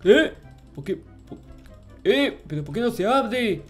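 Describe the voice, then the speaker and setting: High-voiced, clueless little noob. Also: male voice, big pitch swings, slow pace, faint music behind, heavily acted. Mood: puzzled, complaining. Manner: babyish, stammering, repetitive, easily flustered. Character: childish, clueless, grumpy little noob game character. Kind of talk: improvised Minecraft roleplay narration.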